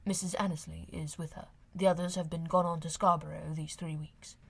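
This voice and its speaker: A woman voicing a reserved, courteous young gentleman in an audiobook, speaking formally and with restraint. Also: low voice, flat delivery, brisk, creaky, husky, voice pushed down, full character voice. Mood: polite, reserved. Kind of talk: audiobook character acting of a classic novel.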